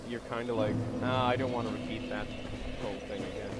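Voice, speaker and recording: A man's voice: fairly low pitch, steady tone, a little fast, heavy background noise. A young man with a slight Serbian accent, chatting casually while streaming a game, thanking viewers and swearing at it. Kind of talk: casual live-stream commentary.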